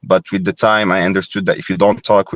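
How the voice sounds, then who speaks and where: Young male guest, deep and dry, thoughtful with many restarts. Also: little pitch movement, fairly quick, fairly bright tone, no room sound. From Morocco. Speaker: former goalkeeper, guest. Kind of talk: reflective podcast interview.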